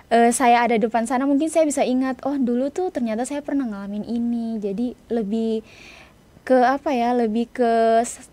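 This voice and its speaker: A woman's voice: a little high, darkish tone. A young woman, answering casually as an interview guest, informal and searching for her words. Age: young adult. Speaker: interview guest, student writer.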